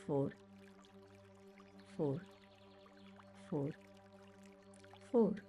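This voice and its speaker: Female meditation guide. Lowish, very mellow tone, measured instructional phrasing over background music. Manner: measured, with pauses between short phrases. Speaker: certified meditation instructor and mind trainer.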